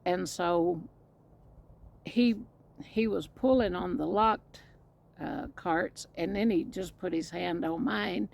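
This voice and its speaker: Gravelly Southern woman vlogger. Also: lowish voice, takes it slowly, darkish tone. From Mississippi and Indiana. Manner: rambling, restarts often, chatty. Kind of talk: casual storytelling to camera. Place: outdoors, storm coming.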